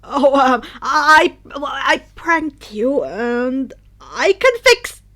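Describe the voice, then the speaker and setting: A woman's voice: high-pitched, animated, very slow, sharp tone, exaggerated voice. A woman voicing a hesitant, apologetic teenage boy prankster in a fan fiction reading. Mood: nervous, pleading. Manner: hesitant, stammering.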